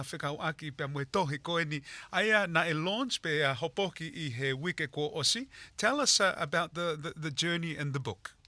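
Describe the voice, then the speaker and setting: A man hosting a radio interview, talking in a lively, halting way with many fillers and restarts. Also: on the high side, dry recording. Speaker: radio host.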